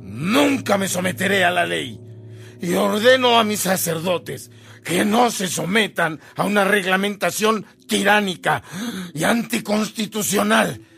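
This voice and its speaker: Grave defiant bishop, husky, creaky, slow emphatic delivery, radio drama. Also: male voice, high voice, lively intonation, a bit bright, soft background music, full character voice. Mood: defiant, proclaiming. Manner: solemn, emphatic, deliberate.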